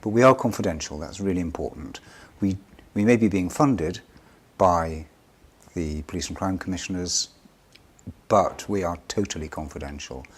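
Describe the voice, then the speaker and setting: A man speaking for a charity in an interview, explaining things in an earnest way. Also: sits low. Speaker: charity representative being interviewed. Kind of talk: interview answers. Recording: clear background noise.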